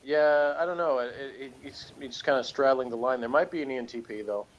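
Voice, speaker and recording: A man's voice: mid-range voice, a little fast, muffled recording. A man co-hosting a casual review chat, talking in a rambling, opinionated and sardonic way. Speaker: co-host of a TV episode review show.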